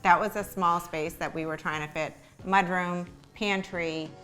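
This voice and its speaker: A woman's voice: on the low side, steady tone, unhurried, fairly bright tone, faint music behind. A middle-aged woman co-hosting a casual home design chat, reading out viewer questions and explaining with an upbeat, easy laugh.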